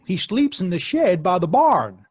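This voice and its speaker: An actor playing an excitable, teasing farm husband with a temper and a husky voice in old-time radio drama. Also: male voice, high-pitched, unhurried, no room sound, clean studio sound, exaggerated voice.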